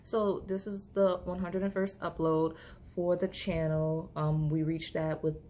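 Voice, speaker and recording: A woman, slightly hoarse, chatting casually through a tarot reading to camera, with lots of fillers and restarts. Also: fairly low pitch, dark tone, a little hiss or hum. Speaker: tarot reader.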